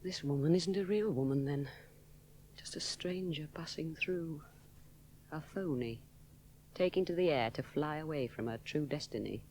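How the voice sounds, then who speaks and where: A woman's voice: sits low, normal speed, a little mellow, slight background noise, lightly acted, rough recording. An actress playing a young woman aviator alone over the sea, musing inwardly, often dropping to a whisper. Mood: brooding. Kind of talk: 1970s radio drama inner monologue.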